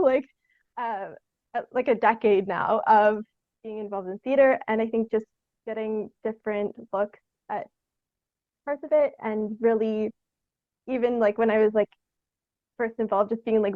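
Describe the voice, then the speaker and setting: Higher-voiced young woman, muffled phone-like line. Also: dry recording. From Canada. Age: young adult. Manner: halting, tentative, thinks aloud with frequent "like". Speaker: guest theatre artist. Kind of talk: casual interview conversation.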